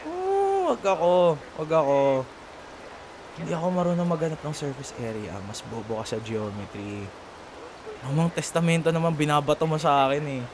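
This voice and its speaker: A man's voice: on the high side, moderate pace. A young man with a Filipino accent acting a sarcastic, teasing college rival in a playful, bickering audio roleplay. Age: young adult. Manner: taunting, playful, colloquial banter. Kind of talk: ASMR boyfriend roleplay, one-sided dialogue acting. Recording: steady rain noise behind voice. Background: falling rain.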